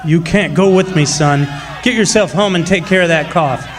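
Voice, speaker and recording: A man's voice: on the high side, moderate pace, a faint crowd, natural voice. A man playing a defiant, protective miner and union father in a radio drama. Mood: worried, firm.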